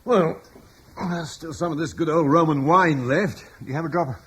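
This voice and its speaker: A man's voice: a little high, moderate pace, a little hiss or hum, close to an everyday voice. An actor playing an eloquent older Roman-born father. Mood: wry. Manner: reflective and persuasive, eloquent. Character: thoughtful older Romano-British father, idealistic. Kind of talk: radio drama acting.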